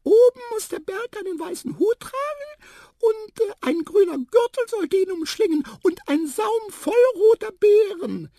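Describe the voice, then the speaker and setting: A performer voicing a tiny, cheeky, curious talking mouse with a piping squeak, lively and chirpy, in a children's story. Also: a little slow, no room sound, studio quality, heavily acted. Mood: insistent, wondering.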